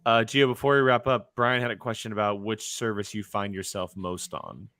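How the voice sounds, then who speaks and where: A man's voice: fairly low pitch, fairly even intonation, normal speed, fairly bright tone, no room sound, clean studio sound. A man casually moderating a live movie talk show as its host.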